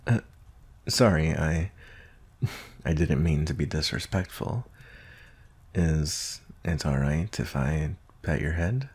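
Man acting out a very deep, gravelly werewolf bounty hunter. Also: expressive, full character voice. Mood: tender, bashful. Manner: slow, gentle, soft-spoken, hesitant pauses. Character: gruff but tender male werewolf bounty hunter. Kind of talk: ASMR roleplay.

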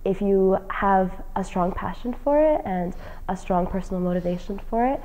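A woman's voice: mid-range voice, moderate pace, warm tone, dull audio. A young woman from New Jersey, a graduating college senior, answering interview questions in a casual, earnest way with many "um" fillers. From New Jersey, United States. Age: young adult. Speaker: graduating senior, fellowship recipient. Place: indoor lounge with plants and leather chair.

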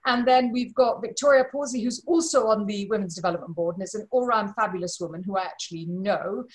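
A middle-aged woman from Malawi speaks as a webinar host over a video call from her living room. Her voice sits at an average pitch. She talks informally, with effusive and emphatic phrasing, as she introduces and appeals to her audience. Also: fast talker. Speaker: webinar host and charity board chair. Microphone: video call computer microphone.

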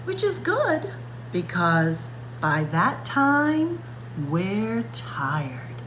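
A woman reading a picture book aloud for children at story time, expressive and stretching words to act them out. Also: mid-range voice, drawn-out words, a little hiss or hum. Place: indoors, library room with bookshelves.

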